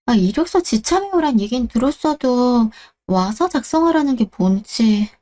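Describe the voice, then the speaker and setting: A woman's voice: fairly high pitch, animated, normal speed, dark tone, natural voice. A woman playing a timid, inexperienced young job applicant in a radio drama, speaking politely and hesitantly. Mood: unsure, polite. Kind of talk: Korean radio-drama story acting.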